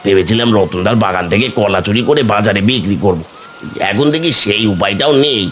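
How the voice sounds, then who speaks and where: A man's voice: on the low side, fairly quick, a little hiss or hum, natural voice. A man voicing a lazy, henpecked, hard-up village husband with a whining, reluctant manner in a cartoon. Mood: dismayed. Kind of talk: cartoon fairy tale acting.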